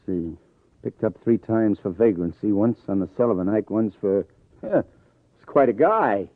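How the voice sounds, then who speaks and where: An American actor playing a wry, slangy, hard-boiled police detective in a vintage radio drama. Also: male voice, lowish voice, expressive, measured pace, no echo. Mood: wry, confident. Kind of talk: 1940s-50s American radio drama acting. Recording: tinny, narrow-band vintage radio recording.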